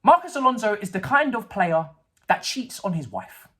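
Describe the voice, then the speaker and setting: A man's voice: high voice, very animated, normal speed, fairly bright tone, breathy edge. A man, ranting about a football match in a casual, sarcastic and slangy way. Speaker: football fan vlogger. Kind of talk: casual match reaction rant.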